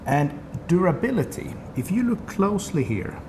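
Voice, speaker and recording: A man's voice: sits high, sing-song, normal speed. Swedish man explaining products. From Sweden. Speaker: company representative. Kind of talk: product demo interview. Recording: noisy room ambience.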